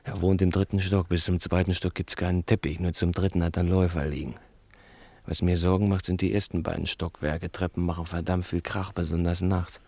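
An actor playing a bossy, mocking burglar leading a murder plot in a 1960s radio play. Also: male voice, deep voice, flat delivery, fairly quick, creaky, darkish tone, dry recording. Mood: cool, deliberate. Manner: patronizing, needling, commanding. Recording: 1960s radio drama recording with music.

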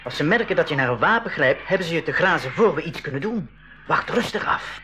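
Man acting calm jungle guide, lightish pitch, measured, reassuring, 1980s radio play, clearly noisy recording. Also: natural voice. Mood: cautioning. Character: calm, knowledgeable male jungle guide leading travellers. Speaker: expedition guide.

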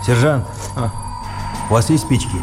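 An actor in a Russian radio play as a stern, stubbornly optimistic army captain, firm, clipped and sardonic. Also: male voice, medium pitch, moderate pace, music in the background, lightly acted. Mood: controlled, measured.

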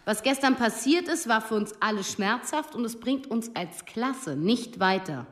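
Brief: flustered schoolteacher, halting and pleading. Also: female voice, on the high side, lively intonation, a bit bright. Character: hesitant female teacher leading class discussion. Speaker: teacher. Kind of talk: radio drama acting.

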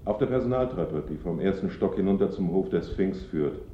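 A man's voice: deep voice, animated, brisk, reverberant, lo-fi sound. An actor playing an uneasy, evasive working man giving hesitant witness answers in a German radio play. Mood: guarded.

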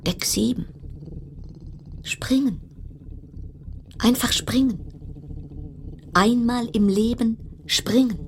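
An actress playing a brooding narrator in an absurd, dystopian radio play, with deliberate, incantatory phrasing over a noisy background. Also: female voice, on the high side. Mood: urging, intense. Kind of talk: literary radio play monologue.

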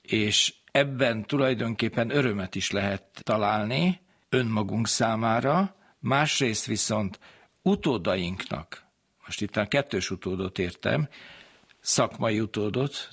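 A man's voice: fairly low pitch, creaky, a bit bright, husky. A man giving an explanatory public lecture. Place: community centre talk.

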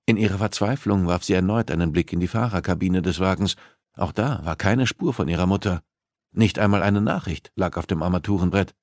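Male audiobook narrator, fairly low register, dry sound, scripted dramatic suspense storytelling. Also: a little fast, darkish tone, no echo.

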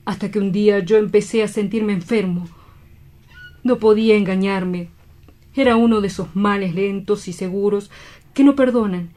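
A woman playing a grief-stricken, guilt-ridden doctor in a radio drama, solemn, halting and imploring. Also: mid-range voice, mellow, lightly acted. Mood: sorrowful.

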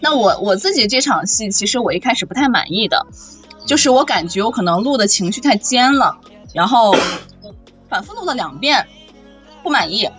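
A woman's voice: a little high, normal speed, breathy edge, soft background music. A woman voice actor talking casually and expressively in a panel chat about audio drama. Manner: animated, expressive. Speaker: voice actor discussing her audio drama role.

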